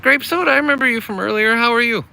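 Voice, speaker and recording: A man's voice: very high-pitched, fast talker, vocal fry, pushed and bright, a little hiss or hum. A middle-aged American man hosting a casual livestream, rambling in a friendly way and reading chat messages aloud. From United States. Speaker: livestream host.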